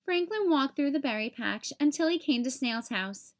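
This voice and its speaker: Female storybook reader, high-pitched voice, expressive delivery for a young audience. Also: moderate pace. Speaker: read-aloud host for young children. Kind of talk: children's storybook read-aloud narration.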